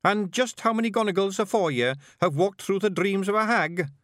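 A man voicing a small, gruff male pictsie clan chief with a Scottish accent, brusque and commanding. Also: high-pitched, fairly quick, a bit bright, dry recording, clean studio sound, pitched above the performer's own voice.

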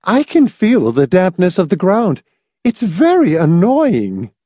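A woman's voice: on the low side, wildly swooping pitch, slow, mellow, no room sound, clean studio sound, voice pushed up, exaggerated voice. A man reading a story, voicing a fussy, gushing, complacent old woman with a prim, matter-of-fact manner. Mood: genteel fussing. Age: older.

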